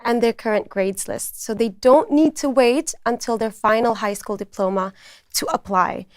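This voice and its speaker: A woman's voice: on the high side, normal speed, dry recording, clean studio sound. A woman speaking as a student recruiter, giving orderly, informative explanations on a talk show.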